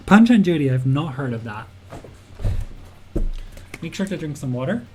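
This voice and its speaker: Young male game dev streamer, slow relaxed delivery, fillers and laughs, slightly raised pitch, casual tutorial. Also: noisy background. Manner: chatty, giggly, thinks aloud with many fillers. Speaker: game developer. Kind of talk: casual livestream tutorial answering chat.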